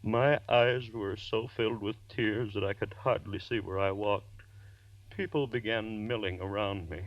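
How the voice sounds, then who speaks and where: An actor playing a tormented, desperate murderer and lecturer in intense, melodramatic 1940s radio horror style, with dramatic pauses. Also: male voice, fairly low pitch, steady tone, highs cut off, lightly acted. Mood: haunted, resolute. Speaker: narrator and lead actor.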